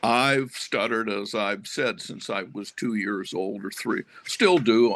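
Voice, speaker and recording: An older man, a former professor, telling a personal story to a discussion group in a slow, reflective, apologetic way. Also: fairly low pitch, a bit bright. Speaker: retired professor who stutters.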